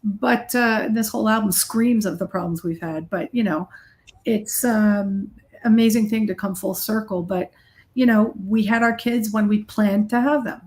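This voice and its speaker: A middle-aged woman with a mid-pitched voice speaks as a guest in a casual interview. She is candid and emphatic, talking in a loose, conversational way like a musician telling her own story. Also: fairly even intonation, normal speed, a little mellow. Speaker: guest, rock guitarist and recording artist.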